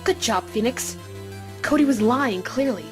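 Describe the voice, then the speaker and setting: A woman's voice: a little high, lively intonation, measured pace, soft background music. A woman playing an assured, supportive mentor lawyer in a fan-dubbed courtroom drama, composed and coaching. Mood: approving. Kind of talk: fan dub courtroom game acting.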